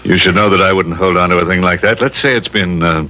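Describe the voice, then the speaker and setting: A man playing a cool master thief in a 1950s radio drama, measured, smug and menacing. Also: deep voice, pushed and bright. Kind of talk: 1950s radio villain acting. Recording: muffled, noisy old radio recording.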